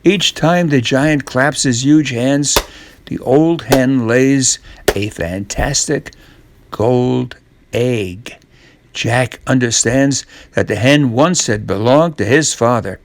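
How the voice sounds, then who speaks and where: A man narrating a scripted children's story, reading aloud in a measured, expressive way. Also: mid-range voice, a little hiss or hum.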